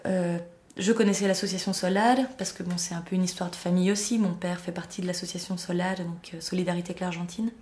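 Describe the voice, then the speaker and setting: Mellow-voiced woman, echoey room. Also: on the low side, steady tone, fast, slight background noise. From Argentine parents. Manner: hesitant, many fillers in interview. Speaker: association organizer. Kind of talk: scripted narration then interview.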